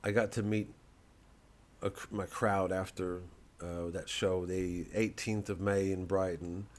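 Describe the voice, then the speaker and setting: Male comic, lowish register, loose off-the-cuff rambling, sardonic and halting, talks straight to the listener. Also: level tone. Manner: halting, digressive, peppered with "you know" and "man"; sardonic, mocking, profane when worked up. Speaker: comedian promoting his show. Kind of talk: rambling monologue to camera.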